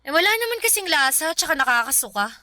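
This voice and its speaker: A woman's voice: high voice, sing-song, fast talker, bright, forceful tone, no room sound, clean studio sound, full character voice. A woman playing a sulky, whiny, argumentative young Filipino girlfriend in a radio drama. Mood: sullen, snappy. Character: sulky, ungrateful young pregnant girlfriend, snappy and complaining. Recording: music bed under the voice.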